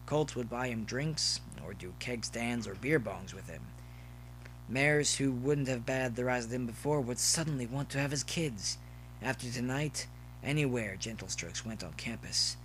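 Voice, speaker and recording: Male fiction narrator, mid-pitched, reading scripted prose, amateur recording with light noise behind. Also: rough recording. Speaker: audio fiction reader. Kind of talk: scripted story narration with asides.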